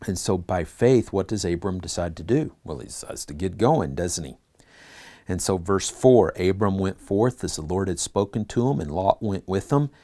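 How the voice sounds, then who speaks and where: Low, mellow-voiced man teaching Bible class. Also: animated, moderate pace. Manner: earnest, asks the class questions, reads scripture aloud. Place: church classroom.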